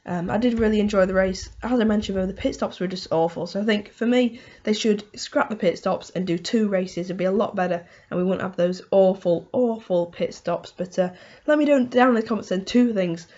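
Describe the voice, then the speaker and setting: A woman with a hoarse voice from a cold, hosting a casual motorsport race review and rambling through it with lots of "um" and "you know". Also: mid-range voice, brisk, hardly any pauses, a little mellow, dull audio. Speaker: motorsport video host.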